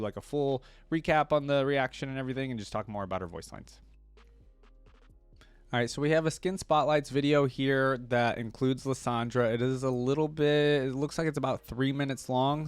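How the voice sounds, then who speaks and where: A man's voice: mid-range voice, clear, resonant tone, no room sound. A man giving casual reaction commentary as a video host, informal, slangy and rambling.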